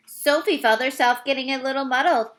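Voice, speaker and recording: High, bright-voiced woman reading a storybook. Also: a little fast. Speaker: storybook reader. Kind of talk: expressive read-aloud of a children's novel.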